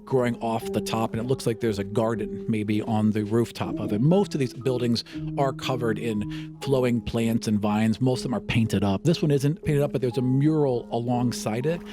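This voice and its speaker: A man's voice: medium pitch, steady tone, normal speed, music in the background. A man narrating a tabletop fantasy game as game master, in a conversational, vivid descriptive style.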